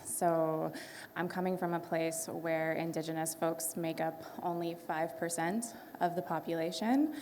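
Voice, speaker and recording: Low-voiced Canadian woman panelist. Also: fairly even intonation, measured pace, darkish tone, a little hiss or hum. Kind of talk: conference panel talk.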